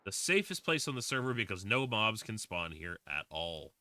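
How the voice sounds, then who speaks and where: Young male streamer in his twenties, casual filler-heavy chatter, bright voice, dry sound. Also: fairly low pitch, big pitch swings, normal speed, dry recording. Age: 20s. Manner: rambling, with many fillers and restarts. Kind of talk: casual livestream chat while playing a game.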